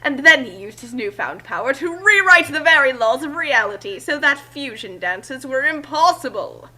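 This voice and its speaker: A woman's voice: high voice, sing-song, moderate pace, pushed and bright. A woman reading a fan fiction story aloud as narrator, in an amateur group session with joking asides.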